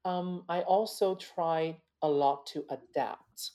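A man's voice: steady tone, slow pace, dark tone. A man, a guest opera singer talking earnestly and reflectively in an interview, often restarting and repeating words. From China. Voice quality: high male voice. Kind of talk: interview conversation.

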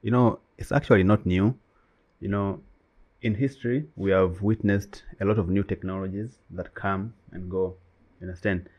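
A man's voice: low voice, steady tone, normal speed, lo-fi sound. A man whose first language is Swahili, co-hosting a casual chat and talking emphatically with many "you know"s. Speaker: co-host.

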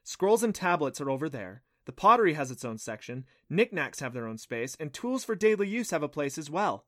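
A man voicing a bold, quick-witted young female adventurer with a brisk, challenging, certain manner in a fantasy story. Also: fairly high pitch, sing-song, no room sound, studio quality.

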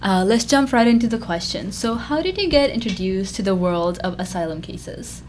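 A woman's voice: medium pitch, animated, normal speed. A woman hosting a podcast, introducing her guest and asking polite questions. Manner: polite, brief affirmations. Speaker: podcast host, interviewer. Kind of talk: interview questions. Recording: faint ambient noise.

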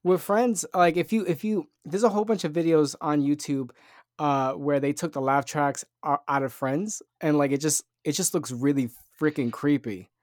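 A man's voice: fairly high pitch, normal speed, no echo, clean studio sound. A young man hosting a podcast in loose, rambling casual chat, full of fillers. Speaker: podcast host.

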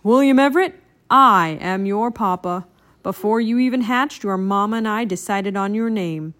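A woman's voice: mid-range voice, normal speed, fairly bright tone, close to an everyday voice. A woman voicing a big, courteous father crocodile in a children's storybook. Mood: emphatic, emotional. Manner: courteous, earnest, emotional.

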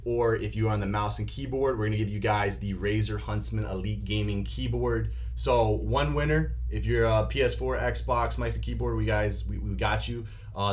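A man hosting a podcast, reading a sponsor spot and then interviewing a guest in an upbeat, enthusiastic way. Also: lowish voice. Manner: upbeat, enthusiastic, short backchannels. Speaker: podcast host.

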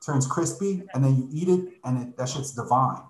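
A man's voice: medium pitch, moderate pace. Chatty man in an echoey room. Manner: relaxed, explanatory, slips in "like" and "right?". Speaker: podcast guest living abroad. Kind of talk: casual chat.

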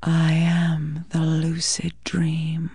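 Actors playing a monstrous, grandiose old god of death in a video game, with layered voices that threaten slowly and theatrically. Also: medium pitch, completely flat delivery, gravelly, dark tone. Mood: eerie menace. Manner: slow, grandiose, menacing. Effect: layered multiple voices.

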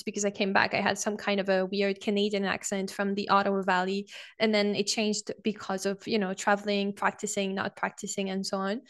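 A woman whose first language is French speaks in a casual, halting way, with many fillers as she gathers her thoughts. Her voice sits at a middle pitch for a woman. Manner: hesitant, many fillers, searching for words. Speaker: podcast host. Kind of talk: casual conversation.

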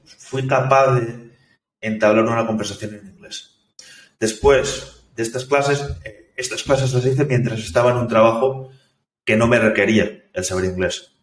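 Low-voiced man, echoey and distant. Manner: thoughtful, hesitant, many restarts and fillers. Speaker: software developer guest. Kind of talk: casual interview conversation. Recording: echoey room, distant from mic.

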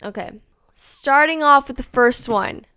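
A woman's voice: high voice, measured pace, bright, forceful tone, dry recording. A young woman hosting a casual solo podcast, chatty and exasperated, with lots of likes and ums. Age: young adult. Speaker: podcast host.

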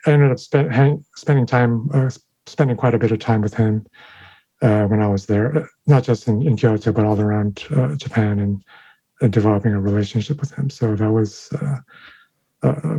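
A man, a sound designer whose first language is Chinese, answering interview questions in a thoughtful, halting way with many fillers and restarts. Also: medium pitch, mellow, breathy edge, no echo, poor audio. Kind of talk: interview conversation.

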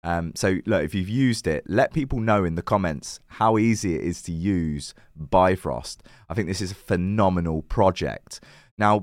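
A man with a croaky, worn voice hosting his own channel, giving chatty crypto commentary with lots of fillers and self-corrections. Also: sits low, no room sound, studio quality. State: croaky, recovering lost voice. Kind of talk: casual to-camera crypto commentary.

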